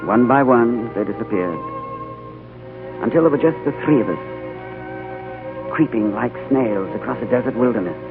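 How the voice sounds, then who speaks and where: An actor playing a weary, haunted adventurer in a 1930s radio drama, narrating earnestly. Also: male voice, medium pitch, expressive, normal speed, pauses often, lightly acted. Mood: somber. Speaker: leading man and narrator. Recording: tinny old radio broadcast with music.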